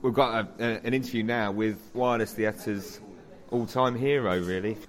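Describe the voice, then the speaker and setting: A man's voice: fairly low pitch, fairly even intonation, moderate pace. A man co-hosting a podcast in casual review chat, with dry, teasing banter. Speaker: podcast co-host. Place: pub. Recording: busy pub crowd noise behind. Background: chatter of pub crowd.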